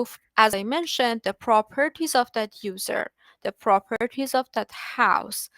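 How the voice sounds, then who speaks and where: Woman, native Persian speaker, fairly high voice, dry close mic; online tech lecturer, patient, self-correcting. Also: a bit bright, dry recording. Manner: explains patiently with restarts and filler words. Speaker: study group presenter. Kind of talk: live online technical lecture.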